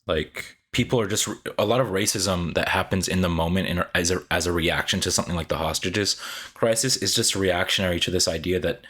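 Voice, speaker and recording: A man with a very deep voice thinks aloud about movies. He hedges often with "I think" and "like". He sounds easy and conversational. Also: brisk, fairly bright tone, husky. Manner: thoughtful, hedging with "I think" and "like". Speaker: podcast co-host. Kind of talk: casual film discussion.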